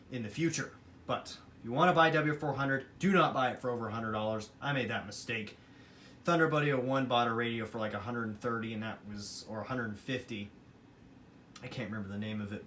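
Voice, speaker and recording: A man's voice: medium pitch, a bit bright, a little hiss or hum. A man giving a casual, rambling product review in a matter-of-fact, opinionated way, as a hobbyist showing a collection. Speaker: hobbyist collector presenting weather radios.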